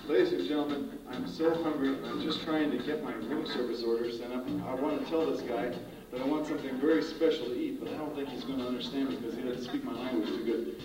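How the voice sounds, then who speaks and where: A man's voice: mid-range voice, steady tone, fast. A man performing a live comedy sketch with a band, talking in a brash, streetwise, mock-exasperated way. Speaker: stage performer. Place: live concert stage. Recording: echoey live hall, distant mic, muffled, music behind.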